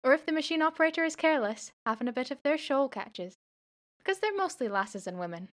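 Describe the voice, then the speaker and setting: A keen young working-class daughter, voiced by a woman in a radio play. Her voice sits fairly high, and she speaks forthrightly with an adventurous streak. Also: expressive, fast. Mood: matter-of-fact.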